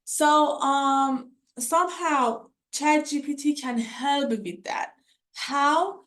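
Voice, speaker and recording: Presenter: young woman, Persian accent, slow and halting delivery, fairly high voice, mic at a distance. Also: a bit bright. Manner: hesitant, many fillers and restarts. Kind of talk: conference presentation. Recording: voice from far off the mic.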